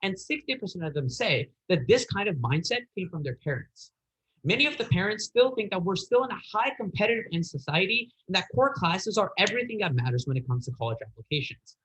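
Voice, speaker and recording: A man's voice: high voice, big pitch swings, brisk, fairly bright tone, low-quality recording. A teenage boy delivering an earnest, slightly hesitant persuasive speech in a contest. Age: teens. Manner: earnest, a little hesitant, occasional fillers and restarts.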